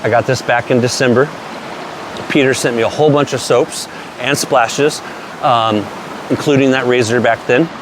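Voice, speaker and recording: A man's voice: mid-range voice, fairly even intonation, normal speed, background noise. A man in his late forties casually reviewing shaving products, enthusiastic and plainspoken, with some mild swearing. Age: 40s. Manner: enthusiastic, plainspoken, mildly profane.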